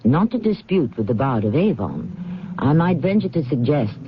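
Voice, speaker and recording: A man's voice: a little high, sing-song, normal speed, slight background noise. A man hosting a 1970s radio mystery, narrating in an urbane, wryly formal and theatrical way. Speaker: host. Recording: dull vintage radio recording, music behind.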